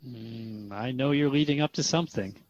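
A man's voice: mid-range voice, normal speed, a little mellow, no echo, lo-fi sound, lightly acted. A man playing an earnest, inquisitive radio host in a scripted talk-show drama, speaking conversationally. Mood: curious. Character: earnest, curious male radio host questioning a spirit guest.

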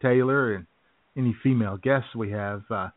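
A man's voice: medium pitch, normal speed. A man co-hosting a comedy radio show in casual, teasing banter. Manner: teasing, joking. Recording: tinny, phone-like band-limited audio.

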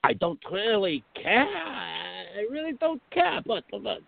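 A man playing an exasperated, excitable, easily offended friend in a radio drama, complaining with indignant, drawn-out emphasis. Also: high-pitched, vocal fry, dry recording, heavily acted. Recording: thin, phone-like band-limited audio.

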